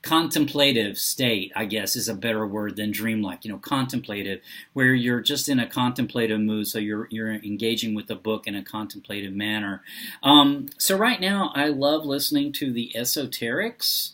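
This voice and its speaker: A man talking casually and unscripted as a vlogger, halting often as he searches for his words. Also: fairly low pitch, pushed and bright. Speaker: book and reading vlogger. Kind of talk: casual unscripted vlog talk.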